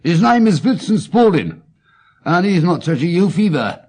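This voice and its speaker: A man's voice: high-pitched, very animated, moderate pace, a little mellow, pitched above the performer's own voice. A man playing a homely, wordy English pawnbroker in an audiobook, with an earnest, stammering manner. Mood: earnest, plaintive. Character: plain, stout, red-headed male pawnbroker, earnest and wordy.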